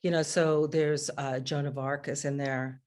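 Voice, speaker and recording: Middle-aged woman, low voice, animated singer guest on a video call. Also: measured pace. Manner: chatty, restarts often, animated. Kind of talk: casual video interview. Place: home living room.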